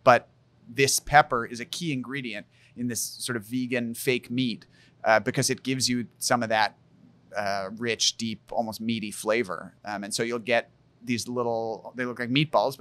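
A man in his thirties, a guest from a spice company, explaining in a relaxed chat with many ums and restarts, on a studio mic at an indoor farm. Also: medium pitch, normal speed, no echo. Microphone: studio mic on boom arm.

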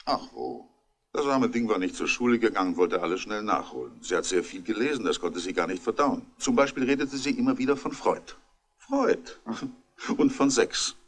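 An actor playing a chatty, wryly amused country doctor who thinks aloud and lists details, in a 1960s radio crime drama. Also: male voice, on the low side, normal speed, husky.

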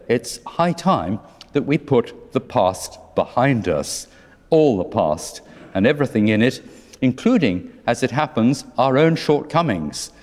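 An older man, a priest, preaching a sermon from a lectern in a large church, with gentle, wry storytelling and dry humor. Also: fairly low pitch. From England. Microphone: lectern microphone. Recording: long church reverb.

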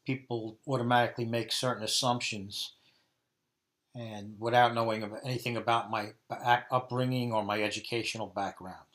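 Man, 50s, Brooklyn accent, mid-range pitch, answering as an interviewee. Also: fairly even intonation, normal speed, a bit bright. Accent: Brooklyn New York. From Brooklyn, New York, USA. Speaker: interviewee, dialect research subject.

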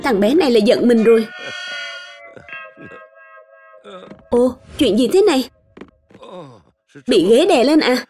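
Woman acting as caring strict father, children's cartoon dub, high pitch, parental tone. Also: lively intonation, normal speed, mellow, lightly acted. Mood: puzzled. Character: strict but caring father of a young boy. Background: background music.

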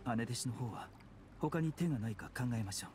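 An actor playing a refined young doctor in an anime game, polite, gentle and measured, with clinical gravity. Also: male voice, mid-range voice, animated, slight background noise, lightly acted. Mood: grave, composed. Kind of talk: Japanese anime game acting. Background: background music.

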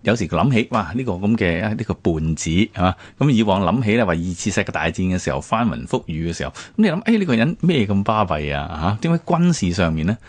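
A man with a Hong Kong Cantonese accent, hosting a conversational history discussion in an animated storytelling manner with rhetorical tags. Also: lowish voice, fast talker, few pauses, no room sound. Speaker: radio programme host.

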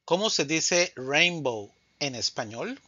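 Slow, deliberate male Spanish teacher. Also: on the high side, expressive, pushed and bright, no echo, highs cut off. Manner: slow, deliberate, says each answer twice. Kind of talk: scripted vocabulary drill.

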